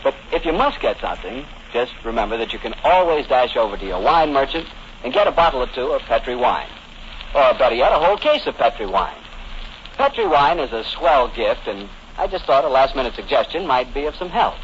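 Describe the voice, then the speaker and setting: A man's voice: medium pitch, very animated, a little fast. An American man announces in a 1940s radio style. He has a mid-range voice and a genial, folksy, scripted manner. The recording is dull with faint noise. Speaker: announcer and host.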